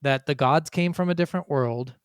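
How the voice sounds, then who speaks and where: A man's voice: fairly high pitch, fairly quick, clear, resonant tone, no room sound, studio quality. A man hosting a podcast, giving blunt, profane opinions in a casual gaming review chat. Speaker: podcast host.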